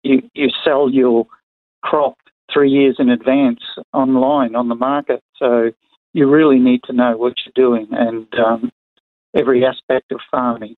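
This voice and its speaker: Older Australian male interview guest, mid-pitched, plain-spoken, short pausing phrases, narrow phone-line sound. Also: no room sound. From far north Queensland, Australia. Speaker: interview guest, retired sugarcane farmer. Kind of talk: conversational interview answers.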